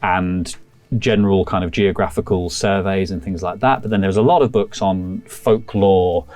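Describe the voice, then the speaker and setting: A man's voice: deep voice, fairly even intonation, moderate pace, darkish tone. Man narrating as game master. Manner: meandering descriptive narration with fillers.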